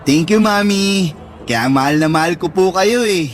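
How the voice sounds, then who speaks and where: A man's voice: sits high, normal speed, faint music behind, lightly acted. A man acting an affectionate, pleading son who speaks respectfully to his mother in a radio drama.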